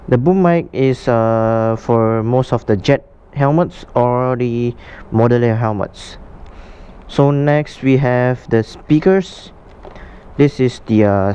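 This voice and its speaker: Guy doing a casual unboxing vlog, soft mellow tone, clean smooth voice, kinda halting. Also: medium pitch, dull audio. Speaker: motovlogger presenting a product unboxing. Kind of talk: casual unscripted product walkthrough.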